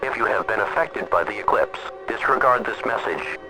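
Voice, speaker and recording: A man voicing an emergency alert announcer in a scripted broadcast, speaking in a formal, measured and impersonal way. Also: fairly low pitch, music in the background, natural voice, rough recording. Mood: grave, impersonal.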